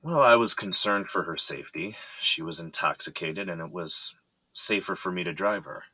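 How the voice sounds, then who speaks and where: A man's voice: low-pitched, normal speed, sharp tone, slightly rough, no echo, exaggerated voice, rough recording. An actor playing a composed, evasive but polite businessman in an audio drama. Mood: guarded, defensive.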